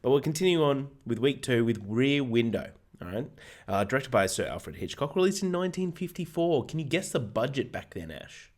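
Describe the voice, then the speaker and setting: An Australian man co-hosting a film podcast, energetic and jokey, swearing freely, with a hoarse voice. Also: medium pitch, brisk. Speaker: podcast co-host. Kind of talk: casual film podcast chat.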